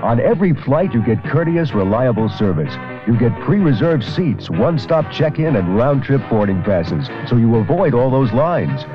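A man reading a 1970s radio commercial in a smooth, upbeat way. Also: mid-range voice, very animated, normal speed, few pauses. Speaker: radio ad announcer. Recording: lo-fi radio broadcast over music.

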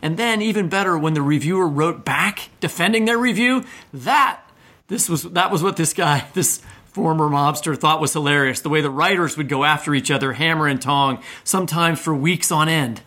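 Bright, higher-voiced man co-hosting. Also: moderate pace. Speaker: podcast co-host. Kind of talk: casual literary podcast conversation.

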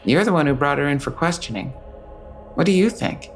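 An actress playing a police detective in an audio drama, matter-of-fact and a little wry. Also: female voice, low-pitched, animated, fairly quick, soft background music. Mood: musing, wry. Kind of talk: full-cast audio drama acting.

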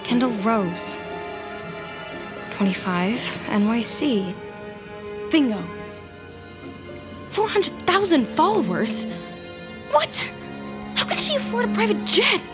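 A woman's voice: high-pitched, big pitch swings, takes it slowly, long pauses, music in the background, full character voice. An actress playing an anxious, scheming woman in an audio drama, sardonic and dramatic, often talking to herself. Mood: exasperated. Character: Nancy, anxious, scheming, vindictive woman in crisis. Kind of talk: scripted fiction podcast acting, monologue and dialogue.

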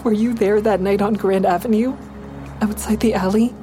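An actress playing a frightened woman who tells her own ordeal in an audio drama, with tense, measured storytelling. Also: mid-range voice, steady tone, mellow, natural voice. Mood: frightened, defensive. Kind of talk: dramatic first-person narration and dialogue. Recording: music behind the voice.

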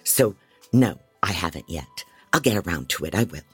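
A man's voice: deep voice, very animated, moderate pace, fairly bright tone, slightly rough, no echo, soft background music, full character voice. A man playing a frantic, disorganized private investigator who narrates an audio drama in a rambling, wry, self-doubting way. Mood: defensive. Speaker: narrator and lead actor. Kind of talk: first-person dramatic audio fiction narration.

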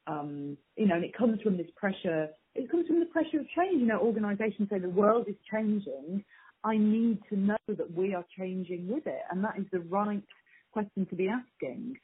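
A woman's voice: mid-range voice, expressive, moderate pace, slightly rough. A woman giving informal, emphatic and candid answers as a marketing guest in an interview. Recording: thin phone-like line.

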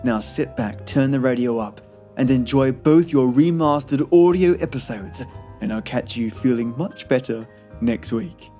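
A man's voice: medium pitch, unhurried, warm tone. A man hosting a podcast, giving a chatty, playful and wry scripted introduction. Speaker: podcast host. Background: faint music.

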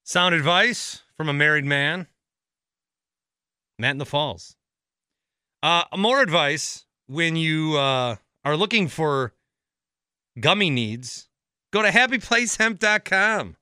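Bright, clear American male podcast host. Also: fairly high pitch, lively intonation, takes it slowly, long pauses, dry recording, clean studio sound. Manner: conversational, rambling, thinking aloud. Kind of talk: casual solo podcast talk with ad reads.

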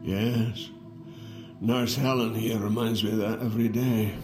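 A man's voice: fairly low pitch, fairly even intonation, unhurried, lightly acted. An actor in an audio drama playing a wry, world-weary old twin brother in his sixties. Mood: wry, moved. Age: 60s. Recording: roomy echo, music underneath.

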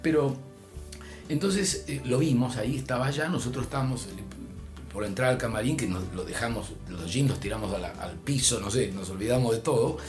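An older man from Argentina with an Argentine Spanish accent, telling a long story in a relaxed chat, his voice rising and dropping as he goes. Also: mid-range voice, fairly quick, music in the background. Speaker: storyteller guest. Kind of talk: casual anecdote.

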